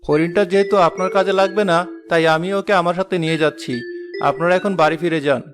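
Brave young cartoon forest lad, played by a man: high male voice, fast delivery, earnest and principled. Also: fairly even intonation, over music, lightly acted. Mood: calm. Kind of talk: Bengali cartoon story dialogue.

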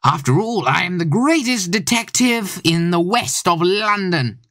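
A teenage boy voicing a vain, pompous gentleman detective with theatrical delivery in an amateur audio play. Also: high-pitched, expressive, measured pace, no room sound, studio quality, heavily acted. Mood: smug.

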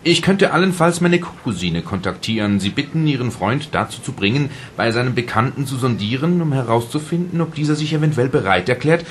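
A man playing a wry, lively-toned voice. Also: mid-range voice, a little fast, hardly any pauses, a little hiss or hum, natural voice. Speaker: radio play speaker. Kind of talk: absurdist radio play reading.

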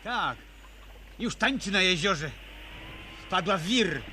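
An actor playing a weary, grumbling but kindly adventurer detective in a 1970s radio play. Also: male voice, high-pitched, expressive, slow, noisy background. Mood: alert, urgent.

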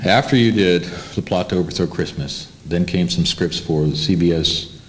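A man's voice: low-pitched, moderate pace, low-quality recording. An American man conversationally guiding a guest through a panel interview. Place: indoor meeting room, panel table.

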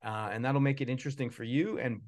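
A man's voice: medium pitch, steady tone, fast talker, a little mellow. A man in his forties chatting casually at a live book launch as a puppeteer and author, rambling and self-deprecating. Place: small indoor room with puppet stage.

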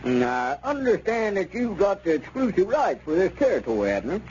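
Male wheedling squire, highish voice, very animated, roundabout salesman, old radio comedy. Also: fairly quick. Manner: hesitant, roundabout, unctuous. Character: wheedling small-town squire, sly real-estate dealer. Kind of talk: 1930s radio comedy acting. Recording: dull, noisy old radio recording.

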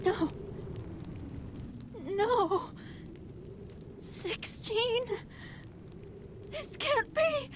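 An actress playing a hungry, volatile and menacing female android demon in a video game, with drawn-out, theatrical delivery. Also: very high-pitched, lively intonation, background noise, exaggerated voice. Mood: distraught. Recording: music under the voice.

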